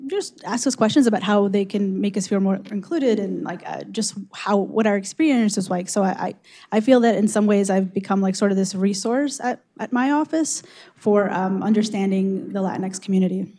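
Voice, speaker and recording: A woman's voice: medium pitch, normal speed, dark tone. A woman engineer on a discussion panel, speaking with frequent hesitations and fillers. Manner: hesitant, many ums.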